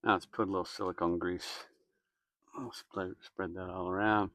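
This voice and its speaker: A man's voice: sits low, little pitch movement, normal speed, a bit bright, dry recording. A man giving a casual, plainspoken how-to while he works with his hands, with noise and clicking behind him. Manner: plainspoken, folksy, talks while working. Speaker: DIY mechanic narrating a repair. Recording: clear background noise, clicks. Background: clicks and noise of repair work.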